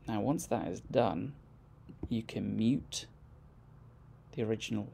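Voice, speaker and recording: Gravelly man giving a casual software tutorial. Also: fairly low pitch, slow, slight background noise. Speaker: music production tutorial presenter.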